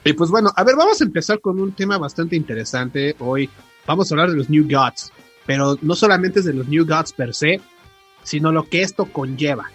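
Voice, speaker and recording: A man's voice: on the high side, animated, fairly quick, fairly bright tone, husky. A Mexican man hosting a podcast, chatting casually with slangy, profane, joking banter. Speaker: podcast host. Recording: podcast with music playing.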